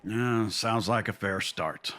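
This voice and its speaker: A man playing a grieving but resolute older newsman and fatherly uncle in a comic dub, measured and earnest. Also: lowish voice, pushed and bright. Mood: easygoing. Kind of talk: dramatic comic dub acting.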